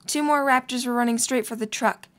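A woman's voice: on the high side, brisk. A woman reading scripted story narration as the narrator of an audio drama.

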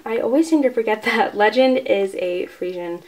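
A woman's voice: on the high side, expressive, moderate pace, a little mellow. A young woman talks her way through a tour of her toy horse stable, chatty and easygoing. She fills gaps with ums and likes while filming up close with the camera. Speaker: toy horse channel creator. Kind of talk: casual model barn tour.

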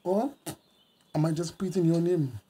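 An actor playing a devoted, hot-tempered young boyfriend in a radio drama, speaking colloquial Creole with a Caribbean accent. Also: male voice, fairly high pitch, normal speed, warm tone, breathy edge. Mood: tender. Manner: colloquial Creole, confrontational when crossed.

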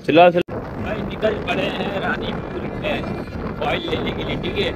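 A man's voice: high voice, normal speed. Pakistani man vlogging over noisy roadside. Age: young adult. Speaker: travel vlogger. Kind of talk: casual vlog chat. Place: outdoors at roadside workshops and in a parked car. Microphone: handheld phone. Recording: noisy roadside with vehicle and wind noise.

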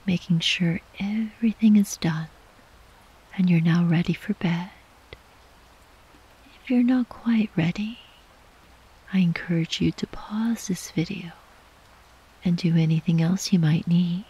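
A woman guiding a sleep meditation in a soft, breathy, whispery voice, gently and soothingly, with long unhurried pauses. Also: fairly low pitch, highs cut off. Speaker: yoga nidra meditation guide.

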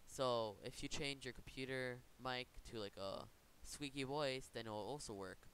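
A man's voice: medium pitch, normal speed, fairly bright tone, low-quality recording. Casual man giving a tutorial over hiss. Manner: casual, rambling, self-correcting. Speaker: tutorial host. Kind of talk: informal screen-recorded software tutorial. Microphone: computer microphone. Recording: noticeable background hiss.

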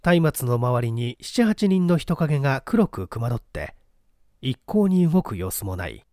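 A man's voice: mid-range voice, big pitch swings, a little slow, a little mellow, dry recording, studio quality. A man reading a literary story as a dramatic scripted narration. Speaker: audiobook narrator, stage performer.

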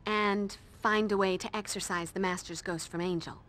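An actress playing a wisecracking, brave teenage vampire slayer in a video game, with a flip, breezy delivery. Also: female voice, mid-range voice, steady tone, normal speed, a bit bright, lightly acted. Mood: dry, wry.

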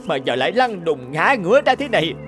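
A man's voice: a little high, very animated, brisk, a bit bright, faint music behind. A man voicing a despairing poor farmer in a cartoon, lamenting in a wailing, melodramatic way. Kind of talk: animated fairy-tale dubbing.

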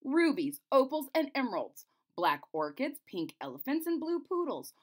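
A woman's voice: sits high, big pitch swings, moderate pace, pitched above the performer's own voice. A woman doing a pompous old court chamberlain. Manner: pompous, listing, self-important.

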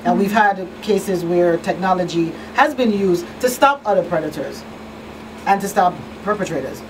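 A woman's voice: fairly low pitch, lively intonation, moderate pace. A middle-aged woman hosting a casual talk show discussion. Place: small radio studio. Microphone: studio microphone. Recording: distant mic, faint room hum.

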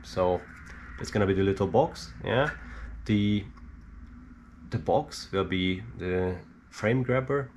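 A man giving a casual, unscripted hands-on explainer as a hobbyist, halting and hesitant as he thinks aloud. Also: low voice. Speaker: DIY electronics hobbyist presenter. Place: small indoor home workshop. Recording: steady background noise.

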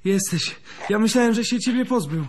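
An actor playing a naive, devoted young musician in a radio drama, earnest and halting with a slight stammer. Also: male voice, high voice, background noise, natural voice. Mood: tender, earnest.

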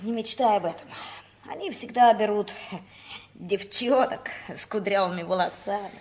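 A woman's voice: medium pitch, normal speed, natural voice. An actress playing a cheeky, sceptical orphan boy in a radio play, blunt, boyish and teasing. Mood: dismissive. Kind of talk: 1960s Soviet radio play acting.

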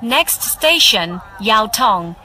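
A woman making formal recorded train announcements with measured, careful enunciation. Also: on the high side, sing-song, sharp tone. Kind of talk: recorded public transit announcements. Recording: noisy clips captured amid train ambience.

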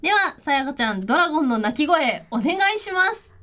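A woman hosting a segment of a web radio show, introducing and explaining in a lively way. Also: high-pitched, fairly quick. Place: radio studio. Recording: music playing behind the voice. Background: background music.

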